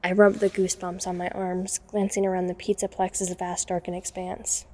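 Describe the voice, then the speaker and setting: A woman's voice: on the low side, level tone, moderate pace, a little mellow, lightly acted. A woman playing a watchful young woman who narrates a night break-in in a scripted audio drama. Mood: measured, suspenseful. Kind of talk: scripted first-person narration.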